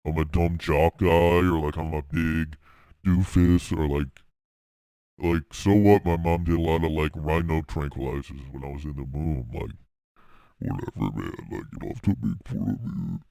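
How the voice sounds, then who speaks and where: A man voicing a slow, dim-witted male jock who drawls and takes offense easily. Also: a bass voice, vocal fry, mellow, dry recording, clean studio sound, voice pushed down. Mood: offended. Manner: drawling, sluggish and indignant.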